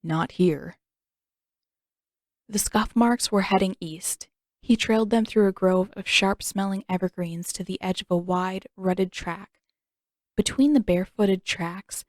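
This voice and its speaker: A woman's voice: mid-range voice, steady tone, normal speed, darkish tone, no echo, studio quality. A woman reading a story as scripted audiobook narration, in the manner of an amateur narrator.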